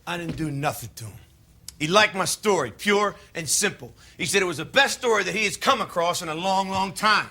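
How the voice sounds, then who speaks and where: An American actor playing a cocky, menacing drifter older brother in a stage drama, with a folksy, ungrammatical, bullying way of talking. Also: male voice, high voice, lively intonation, brisk, bright, forceful tone, full character voice. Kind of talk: filmed stage play acting.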